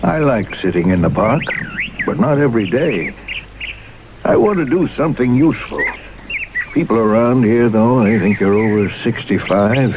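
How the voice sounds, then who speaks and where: A man's voice: medium pitch, lively intonation, moderate pace, natural voice. A man playing a restless older retiree who wants to be useful, in a testimonial for a radio ad. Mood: discontented. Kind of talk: public service ad testimonial. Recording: very noisy vintage radio ad.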